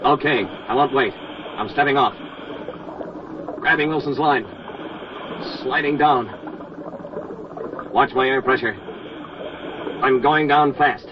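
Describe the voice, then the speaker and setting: An actor playing a brave navy diver giving a terse, urgent running report in a 1940s radio drama. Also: male voice, mid-range voice, slow, long pauses, close to an everyday voice. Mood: terse, tense. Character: brave male navy diver Moran reporting tense undersea rescue. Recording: noisy, dull vintage 1940s radio recording.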